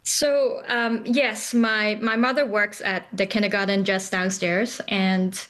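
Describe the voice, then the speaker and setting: A woman from Hong Kong speaking as a business founder in an interview. Her pitch is middling, and she answers conversationally, pausing often in the middle of phrases. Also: level tone, fairly bright tone. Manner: hesitant, frequent pauses mid-phrase. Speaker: company founder, interview guest.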